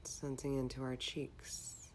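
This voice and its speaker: A woman leading a guided meditation in a calm, measured, instructional manner. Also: a bass voice, slight background noise, low-quality recording.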